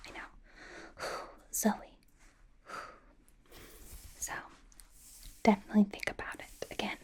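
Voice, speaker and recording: A woman in an ASMR roleplay playing a doting, bossy young pop idol turned fashion stylist, in a soft breathy whisper with a playful, halting delivery. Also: mid-range voice, close to an everyday voice. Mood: smitten, encouraging. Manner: playful, gushing, gently insistent, halting. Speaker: ASMR performer. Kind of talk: ASMR roleplay, personal attention.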